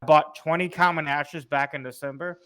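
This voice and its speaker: A man's voice: a little high, fairly even intonation, normal speed, bright, forceful tone, clean tone. A young American man chatting casually in a group podcast, from Chicago. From Chicago, United States. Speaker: podcast participant.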